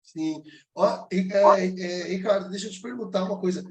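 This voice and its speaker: High-voiced joking podcast host, a man. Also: moderate pace, a little mellow. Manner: joking and teasing. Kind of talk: casual podcast talk.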